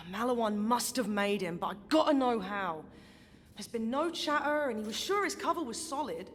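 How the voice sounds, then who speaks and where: A no-nonsense British resistance fighter for a game. Her voice is fairly high, blunt and weary of nonsense, with a long reverb around it. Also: lively intonation, normal speed. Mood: brisk. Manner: brusque, impatient, blunt. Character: gruff, no-nonsense female resistance fighter, bitter, exasperated. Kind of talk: video game character dialogue.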